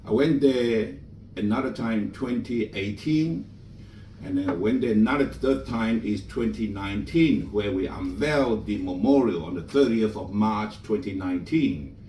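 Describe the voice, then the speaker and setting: A man's voice: fairly low pitch, animated, normal speed, warm tone, slight background noise. Malaysian-born man emceeing in a roomy hall. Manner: chatty storytelling, slightly non-native grammar. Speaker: master of ceremonies. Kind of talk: speaking at a book launch. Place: bookshop event room. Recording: roomy, from a distance.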